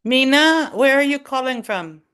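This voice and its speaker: A woman's voice: high voice, expressive, moderate pace, fairly bright tone, clean tone. Woman chairing a video-call meeting. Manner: brief, prompting others by name. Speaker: meeting facilitator. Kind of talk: online meeting chat.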